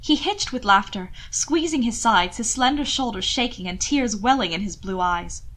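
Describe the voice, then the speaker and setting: High-voiced woman narrating a story. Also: animated, moderate pace, a bit bright. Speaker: audiobook narrator of fan fiction. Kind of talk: expressive scripted story narration.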